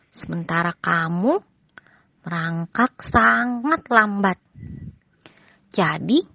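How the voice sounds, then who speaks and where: Woman playing a cocky storybook hare, higher-pitched and swooping, taunting, slightly husky, drawn-out words. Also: a bit bright, no room sound, highs cut off, heavily acted. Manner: taunting, exaggerated, drawn-out delivery. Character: boastful, mocking fast young male hare.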